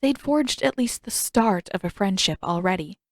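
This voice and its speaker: A woman's voice: mid-range voice, lively intonation, moderate pace, no echo. Woman narrating fiction, very dry sound. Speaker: audiobook narrator.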